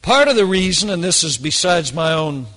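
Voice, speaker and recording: A hoarse man preaching a sermon, emphatic and repeating phrases for stress. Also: a little high, expressive, moderate pace, fairly bright tone, long reverb tail.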